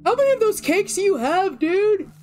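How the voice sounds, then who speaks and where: Woman, gaming streamer, high pitch, very lively, playful, teasing, faint hiss. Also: moderate pace. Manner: playful, teasing, laughs often. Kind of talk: casual gaming chat with friends.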